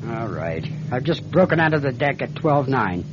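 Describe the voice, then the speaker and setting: An actor playing a seasoned airline captain in a radio drama, with clipped, commanding pilot talk. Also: male voice, mid-range voice, big pitch swings, moderate pace, natural voice. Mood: subdued, resolute. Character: seasoned male airline captain haunted by distress call. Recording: muffled old radio, loud engine noise.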